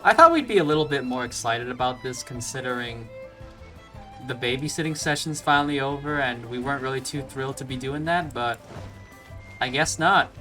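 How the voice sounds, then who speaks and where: A man's voice: medium pitch, bright, forceful tone, poor audio. A man giving casual commentary as he plays through a video game. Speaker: let's play streamer.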